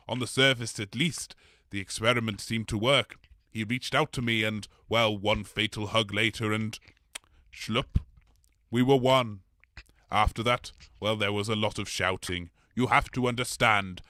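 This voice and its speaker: A man's voice: lowish voice, normal speed, bright, forceful tone, dry recording, studio quality. A man playing a polite, bumbling blob creature in a comedy audio drama, hesitant, rambling and apologetic. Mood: rambling, genial.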